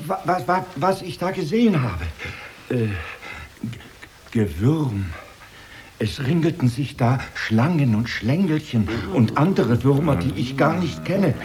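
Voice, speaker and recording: An actor playing a humble, nervous, long-winded poor Russian merchant in a children's radio play. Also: male voice, mid-range voice, very animated, a little mellow, a little hiss or hum. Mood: nervous, eager. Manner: long-winded, stammering when hurried.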